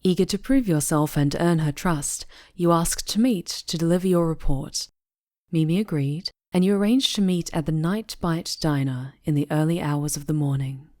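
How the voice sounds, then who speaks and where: A woman's voice: deep voice, normal speed, darkish tone, no room sound, studio quality. A woman with a Southern US accent reading scripted second-person story narration.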